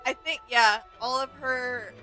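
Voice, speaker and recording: A woman's voice: on the high side, fairly even intonation, slow pace, bright, forceful tone, soft background music, low-quality recording. A woman co-hosting a reaction channel, chatting casually in a gushing, agreeable way.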